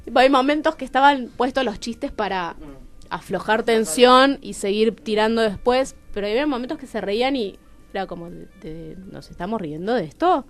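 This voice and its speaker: A woman's voice: a little high, normal speed, faint music behind. A woman with a Rioplatense Argentine accent, telling personal stories expressively as a guest in a radio interview. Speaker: playwright and radio show guest. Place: radio studio.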